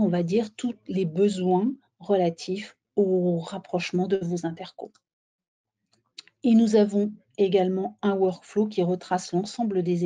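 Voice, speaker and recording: A woman presenting a software webinar in an explanatory, somewhat halting way, as if walking listeners through slides. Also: mid-range voice.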